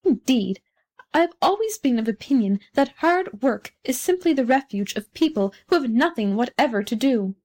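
A conceited storybook firework played by a woman reader holds forth in a fairly high voice. He is pompous and condescending, forever boastful and very pleased with himself. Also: animated, moderate pace, a little mellow. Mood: haughty, disdainful. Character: vain, haughty, boastful male firework rocket. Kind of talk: storybook character reading.

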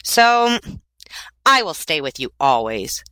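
A woman's voice: low-pitched, big pitch swings, slow pace, sharp tone, clear, resonant tone, no room sound, full character voice. A woman voicing a small, kind-hearted male swallow in a storybook, earnest, eager and pleading. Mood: tender, devoted. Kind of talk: storybook character voice.

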